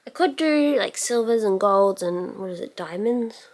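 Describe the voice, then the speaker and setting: A woman's voice: medium pitch, lively intonation, normal speed, a little mellow. A woman giving a casual makeup tutorial as a beauty vlogger, musing aloud as she works and letting sentences trail off.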